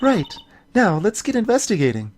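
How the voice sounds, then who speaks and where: A woman's voice: mid-range voice, big pitch swings, normal speed, darkish tone, a little hiss or hum, lightly acted. A woman voicing a perky, impulsive, childlike young female sidekick in a video game, playful and eager. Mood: curious.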